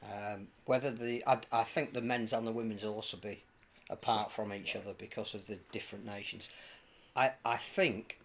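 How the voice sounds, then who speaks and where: A man giving informed, measured opinions as a rugby league pundit on a panel. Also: lowish voice, steady tone, slight background noise. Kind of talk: casual panel chat.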